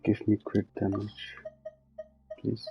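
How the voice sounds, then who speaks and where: A man's voice: lowish voice, completely flat delivery, takes it slowly, vocal fry, muffled recording, faint music behind. A man streaming a video game, chatting casually and thinking aloud as he plays. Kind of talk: casual chat while gaming.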